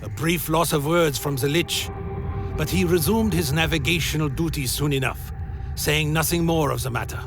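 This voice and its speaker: A man playing a grand inquisitor in a dreamy, defiant blood trance, narrating a dark fantasy radio play in slow, deliberate, literary prose. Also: fairly high pitch, lightly acted. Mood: grim, intense. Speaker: narrator and lead character. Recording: dramatic music behind. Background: loud music bed.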